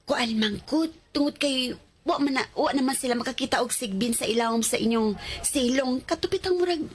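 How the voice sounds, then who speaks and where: A woman playing a young Cebuano Filipino student in a radio drama, with a fairly high voice. She is chatty and a little coy, circling her point haltingly like someone sharing gossip. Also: slight background noise, close to an everyday voice. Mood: sheepish, friendly.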